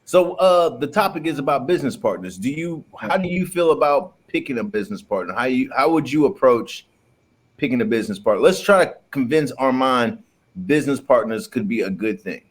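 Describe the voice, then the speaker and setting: A man's voice: medium pitch, animated, a little fast. A man co-hosting a podcast, joking and teasing the guest in casual banter. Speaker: podcast co-host.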